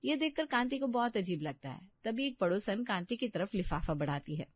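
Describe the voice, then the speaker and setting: A woman's voice: mid-range voice, big pitch swings, a little fast, dry recording. Woman storyteller, dry dull audio. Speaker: storyteller narrator. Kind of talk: scripted story narration in Hindi.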